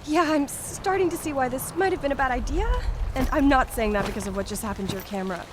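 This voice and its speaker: A woman's voice: sits high, fast talker, noisy background, natural voice. A woman playing a bold, determined young hiker in an amateur audio drama. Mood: concerned. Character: bold, determined young woman, peacemaker among hiking friends.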